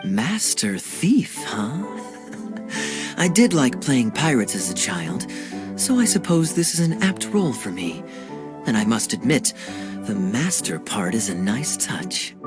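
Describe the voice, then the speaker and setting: A man's voice: mid-range voice, big pitch swings, unhurried, music in the background. A man voicing a suave, playful rogue with a wry, polished way of talking in a video game cutscene. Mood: amused, wry.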